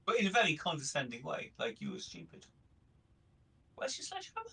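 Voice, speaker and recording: Man doing needling troublemaker, husky, high-pitched, extremely swoopy intonation, tabletop roleplay. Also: wildly swooping pitch, brisk, sharp tone, full character voice. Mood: sly goading. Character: mischievous, needling troublemaker stirring up a fight.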